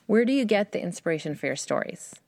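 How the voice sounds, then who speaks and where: A woman's voice: lowish voice, animated, fast talker, vocal fry, a little mellow, no room sound, studio quality. A woman hosting a podcast, reading scripted introductions and interview questions.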